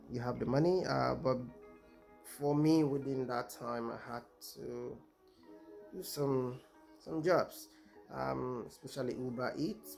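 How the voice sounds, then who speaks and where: A man from Nigeria, a PhD student, telling his own story in a hesitant way with many pauses and ums. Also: medium pitch, vocal fry, darkish tone. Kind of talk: personal testimony.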